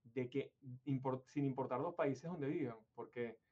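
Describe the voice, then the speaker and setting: A man's voice: mid-range voice, unhurried. A man from Venezuela hosting an interview, talking at length in a rambling, hesitant way with many fillers and restarts. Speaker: interview host. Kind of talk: conversational interview. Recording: dull lo-fi sound.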